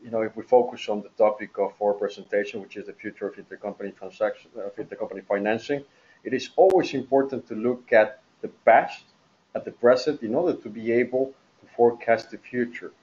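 A man's voice: lowish voice, a little fast, a little mellow. A man moderating a business webinar in a formal presentation style. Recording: dull, muffled webinar audio.

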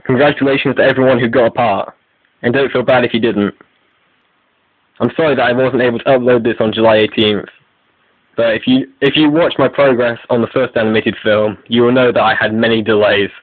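Man, video creator, scripted announcement, mid pitch, thin phone-like lo-fi recording, dry sound. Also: steady tone, a little fast, dry recording.

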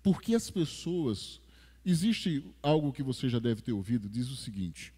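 Preaching Brazilian pastor in a reverberant church. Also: male voice, mid-range voice, big pitch swings, slightly rough. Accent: Rio de Janeiro Portuguese. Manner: preaching with rising emphasis, then slow and hushed. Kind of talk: sermon. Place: large church stage with a drum kit. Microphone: headset.

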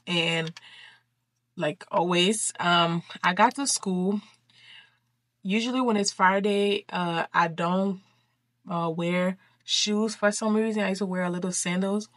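A woman's voice: medium pitch, a bit bright, no echo. A young woman from Liberia chatting casually in slangy, emphatic speech, telling a story like a vlogger. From Liberia, West Africa. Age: young adult. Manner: informal, slangy, emphatic repetition. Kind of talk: casual chatty storytime while doing makeup. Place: small furnished bedroom, indoors.

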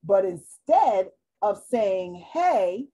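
A middle-aged woman reading a children's picture book aloud in an expressive, deliberate way, at home over a video call. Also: high voice, husky, muffled recording. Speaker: storytime reader. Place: small room at home, indoors. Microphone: computer mic on a video call.

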